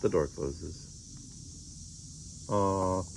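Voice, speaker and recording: A man's voice: low-pitched, extremely slow, creaky, a little hiss or hum. A man reading a children's storybook aloud expressively, acting out the dialogue. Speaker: storybook reader.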